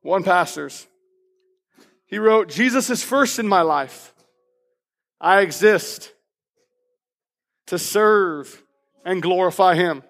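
A man preaching as pastor in a church, with a loud, passionate voice, reading personal declarations aloud with emphasis. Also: sits high, slow, long pauses, in a large hall, soft background music. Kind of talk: sermon. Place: church sanctuary.

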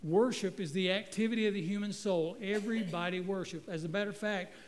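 A middle-aged guest pastor from North Carolina, preaching in a folksy, joking way with stumbling restarts. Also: male voice, high-pitched, brisk, in a large hall. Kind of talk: sermon. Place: church sanctuary. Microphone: handheld microphone.

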